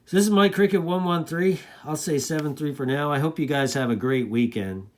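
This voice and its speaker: A middle-aged man presenting as a radio hobbyist, casually showing gear in a halting, conversational way. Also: fairly high pitch. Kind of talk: casual hands-on gear demonstration. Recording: clear background noise.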